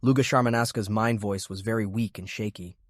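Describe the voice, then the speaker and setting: A man's voice: fairly low pitch, steady tone, a little fast, dry recording, clean studio sound. A man reading scripted narration of military fantasy fiction as an audiobook narrator.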